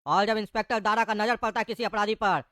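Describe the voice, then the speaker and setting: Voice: mid-range voice, fairly even intonation, fast talker, pushed and bright, dry recording, studio quality, lightly acted. A performer playing a boastful, authoritative male police inspector in a Hindi comedy cartoon, speaking in a self-important, instructive way.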